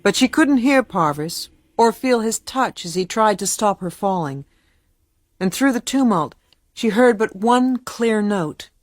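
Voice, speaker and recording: Actress as grave storyteller, close dry sound. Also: female voice, mid-range voice, expressive, no echo, natural voice. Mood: grave, measured. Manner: measured, literary. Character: grave female storyteller of a ghost tale. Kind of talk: scripted radio drama narration.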